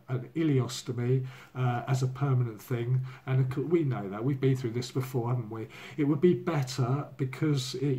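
Hoarse man in his sixties, candid home video diary, loose and rambling, camera mic. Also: medium pitch, warm tone. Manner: candid, rambling, many fillers. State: hoarse from a cold. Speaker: vlogger. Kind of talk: casual personal video diary. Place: living room at home. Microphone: laptop or phone camera at desk.

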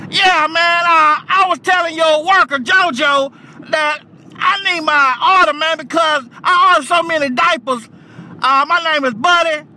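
A man voicing an irate, foul-mouthed old nursing home worker, hollering in a high, strained voice on a prank call. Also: fairly even intonation, measured pace, pushed and bright, exaggerated voice. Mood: hollering, agitated. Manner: agitated, profane, hollering street talk.

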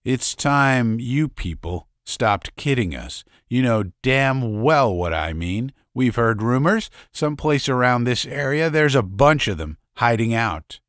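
A man's voice: mid-range voice, lively intonation, unhurried, hardly any pauses, fairly bright tone, no echo, studio quality, voice pushed up. A man reading aloud, voicing a blunt, suspicious hard-eyed man. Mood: accusing. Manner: blunt, accusing.

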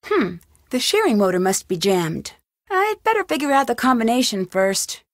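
A woman's voice: fairly high pitch, big pitch swings, normal speed, no room sound, studio quality. An actress playing a curious, upbeat young woman detective in an adventure game, thinking aloud in short scripted remarks. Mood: thoughtful.

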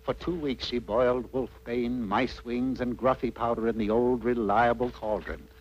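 A man's voice: medium pitch, fairly even intonation, normal speed, slightly rough, dull audio. A man narrating a scripted cartoon fairy tale in a wry, mock-dramatic storytelling manner. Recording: faintly noisy old cartoon soundtrack.

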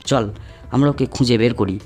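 A worried young man, acted by a man in an audio horror story. His voice is of ordinary male pitch, with faint music behind it. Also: moderate pace, darkish tone, lightly acted. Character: worried young man, leader among friends.

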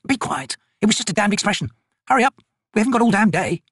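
A narrator playing a gruff, sharp-tongued woman corporal in an audiobook, suspicious and drawling. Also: mid-range voice, big pitch swings, fast talker, no echo, clean studio sound, pitched above the performer's own voice. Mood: impatient, snapping. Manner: drawling, snapping, sneering.

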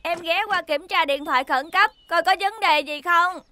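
A woman's voice: extremely high pitch, moderate pace, bright, forceful tone, slight background noise. A woman voicing a kind, caring young cartoon helicopter robot, earnest and friendly, with a Southern Vietnamese accent. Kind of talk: dubbed children's cartoon acting.

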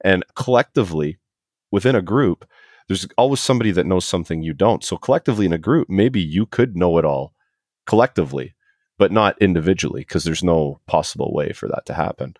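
A man in his forties from Canada with a very low voice, chatting as a podcast guest. He rambles through his stories in a loose, self-deprecating way. The sound is dry and close, with little room around it. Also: lively intonation, fairly quick, darkish tone, no room sound. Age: 40s. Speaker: podcast guest, HVAC technician. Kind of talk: casual interview chat.

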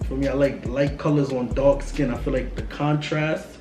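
A man in his twenties with a New York accent, hosting a casual chat in a relaxed, slangy way full of fillers. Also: medium pitch, moderate pace, echoey room, music in the background. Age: 20s. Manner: laid-back slangy talk with many fillers. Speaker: host. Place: small room against a plain wall.

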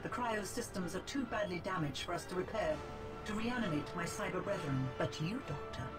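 An imperious cyborg villainess from a video game, acted by a woman. She is formal and menacing, her pitch moving widely, over loud music. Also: lively intonation, brisk, lightly acted. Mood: cold, commanding. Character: cold, imperious female cyber slave villain. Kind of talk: video game cutscene acting.

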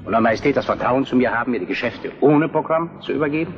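A man's voice: mid-range voice, fast talker, soft background music, lightly acted. A man acting a determined Prussian statesman in a historical radio drama, formal and deferential yet firm. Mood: urgent, courteous. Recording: dull reverberant radio play recording.

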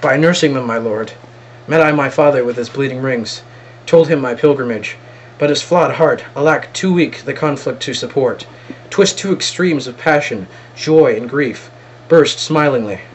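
A man playing an earnest, wronged young knight in amateur Shakespeare, measured and solemn, recorded from a distance with noise. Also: mid-range voice, lightly acted. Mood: sorrowful. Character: earnest, noble wronged young knight challenging his brother. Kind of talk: amateur Shakespearean stage acting.

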